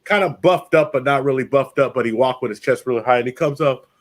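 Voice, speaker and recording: A man's voice: mid-range voice, fast. A man hosting a livestream, chatting casually and telling a long story, acting out the people in it. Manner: animated storyteller, puts on voices for people in his stories. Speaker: livestream host.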